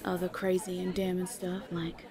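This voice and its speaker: A woman's voice: on the low side, completely flat delivery, moderate pace, crowd noise, lightly acted. A woman playing a brash, bossy but motherly small-town eatery woman, blunt and teasing, in an audio drama. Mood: frank, reassuring. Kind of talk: audio theater acting.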